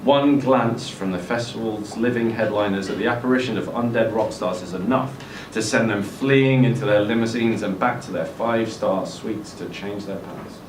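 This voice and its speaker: A man's voice: fairly low pitch, normal speed, few pauses. A man reading a comic story dramatically at a live literary event. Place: indoor social room at a live event. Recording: echoey venue, distant camera mic, faint noise.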